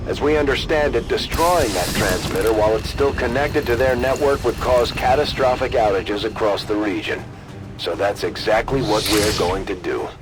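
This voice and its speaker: An actor playing a businesslike military officer who briefs soldiers on a mission in a video game. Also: male voice, fairly low pitch, normal speed, over music, lightly acted, poor audio. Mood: firm, businesslike.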